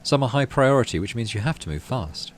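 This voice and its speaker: A man's voice: medium pitch, lively intonation, brisk, slight background noise. A man hosting a gaming playthrough, reading story text aloud and adding casual commentary. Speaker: gaming channel host.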